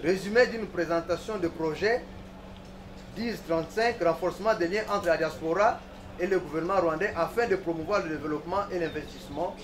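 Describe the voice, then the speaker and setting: A man's voice: on the high side, a little fast. Echoey, distant man presenting courtroom evidence. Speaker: military prosecutor in court. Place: large indoor courtroom. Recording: echoey courtroom, distant mic, faint noise.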